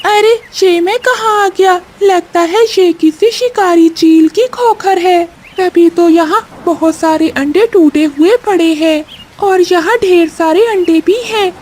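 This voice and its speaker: A woman voicing a small, excitable male parrot with an earnest manner in a children's cartoon. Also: very high-pitched, unhurried. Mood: alarmed. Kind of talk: Hindi children's cartoon voice acting.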